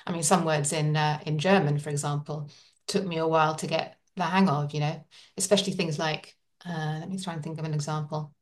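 A woman's voice: sits low, steady tone, a little fast, far from the mic. A woman in casual conversation, talking thoughtfully with frequent fillers and restarts.

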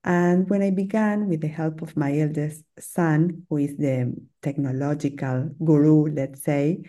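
A woman's voice: deep voice, steady tone, measured pace, warm tone. A middle-aged woman from Argentina with an Argentine Spanish accent, a native Spanish speaker, talking as a podcast guest in a hesitant, chatty way with frequent fillers and little laughs. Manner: hesitant, many fillers and restarts, laughs often. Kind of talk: casual interview chat.

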